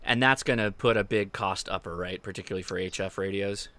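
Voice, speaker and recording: Bright, clear-voiced male livestream host. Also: deep voice, fairly even intonation, a little fast, dry recording. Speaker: host of a ham radio livestream. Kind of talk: casual livestream interview chat. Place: indoors at a desk, live stream.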